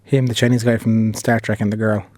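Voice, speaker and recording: A man co-hosting a podcast, chatting quickly and casually. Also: lowish voice, flat delivery, darkish tone, dry recording. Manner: quick, chatty, quotes others with a laugh. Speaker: podcast co-host. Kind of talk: casual chat.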